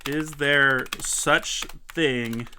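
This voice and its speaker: A man hosting a casual live stream with friends, animated and quick to laugh, sometimes reading haltingly. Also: mid-range voice, a little hiss or hum. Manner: animated, laughs often, reads aloud haltingly. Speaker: streamer and host.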